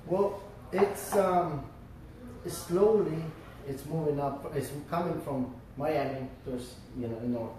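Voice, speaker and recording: A male chef talks through how to make a dish, step by step, pausing often on fillers. His voice sits at an ordinary middle pitch. He is heard from well away from the mic in a very echoey restaurant room, with faint noise behind him. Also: warm tone, distant mic. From Florida. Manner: explains step by step with many fillers. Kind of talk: cooking demonstration.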